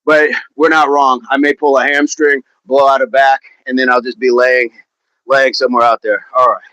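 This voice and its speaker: A man's voice: mid-range voice, level tone, normal speed, bright, forceful tone, dry recording, poor audio. A man hosting a fitness livestream, chatting casually and answering viewer comments in an upbeat, laughing, repetitive way. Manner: chatty, upbeat, laughs often, repeats phrases.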